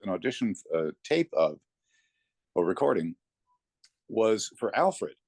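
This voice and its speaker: Low-voiced middle-aged man chatting casually. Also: very animated, measured pace, darkish tone, no echo. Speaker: interview guest, voice actor. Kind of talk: casual interview chat, telling anecdotes.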